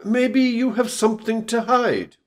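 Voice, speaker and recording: An actor playing a stern, suspicious company boss in a radio play, speaking formally and curtly. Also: male voice, extremely high pitch, unhurried. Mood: brisk, firm. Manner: formal, curt, authoritative.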